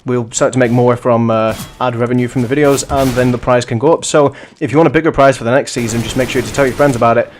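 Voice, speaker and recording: A young man, hoarse and sniffly from the flu, giving rambling, chatty commentary on a bot match. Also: medium pitch, fairly even intonation, fast, hardly any pauses, soft background music. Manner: rambling, self-correcting, chatty. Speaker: host and commentator of a bot league. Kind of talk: casual gaming commentary.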